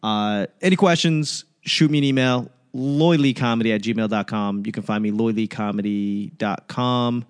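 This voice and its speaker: A man's voice: on the low side, moderate pace, hardly any pauses, smooth. Male podcast host, loose and profane, teasing banter. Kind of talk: casual podcast chat.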